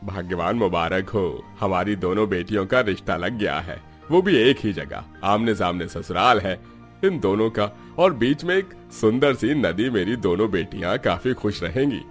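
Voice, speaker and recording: A man's voice: fairly low pitch, animated, normal speed, soft background music, natural voice. A man voicing a proud, caring middle-aged father in a cartoon story, with an earnest, announcing manner. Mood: happy. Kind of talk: Hindi cartoon story acting.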